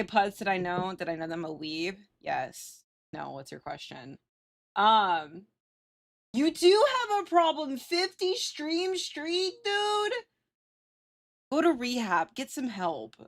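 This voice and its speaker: A woman's voice: fairly high pitch, a bit bright. A woman livestreamer chatting casually with viewers in a slangy, sweary, self-teasing and exclaiming way. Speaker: live streamer.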